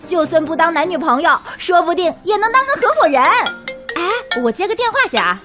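Woman playing a bubbly young woman, high animated voice, outspoken, chatty, radio drama with music behind. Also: normal speed. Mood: flustered. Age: young adult. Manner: chatty and impulsive. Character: bubbly, scatterbrained, outspoken young woman who loves food. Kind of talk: Chinese micro radio drama acting.

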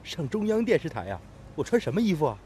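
An actor playing an eager, admiring young apprentice steel worker in a radio drama, earnest and excitable. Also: male voice, a little high, fast talker, a little hiss or hum. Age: young adult.

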